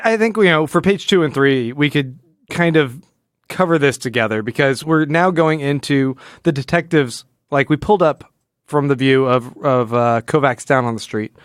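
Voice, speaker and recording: A man's voice: medium pitch, smooth, no echo, clean studio sound. A man co-hosting a podcast, talking casually and analytically, often rephrasing himself as he explains. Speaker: podcast co-host.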